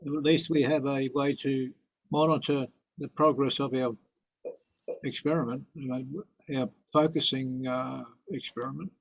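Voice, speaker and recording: A man's voice: medium pitch, fairly even intonation, unhurried, vocal fry, rough recording. A man in a group discussion explaining things in a matter-of-fact way.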